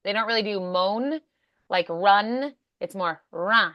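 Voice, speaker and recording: A woman's voice: medium pitch, takes it slowly, dry recording, muffled recording. A woman speaking as an encouraging voice acting coach in a one-on-one lesson.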